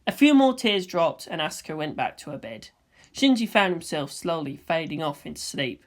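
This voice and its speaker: A woman's voice: low-pitched, very animated, moderate pace. A woman narrator reading fan fiction as scripted storytelling.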